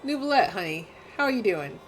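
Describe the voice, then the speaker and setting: A woman's voice: medium pitch, sing-song, a little fast, low-quality recording. A woman livestreamer giving casual, wry, sassy reaction commentary while gaming. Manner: sassy, wry, dry asides. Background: faint game music.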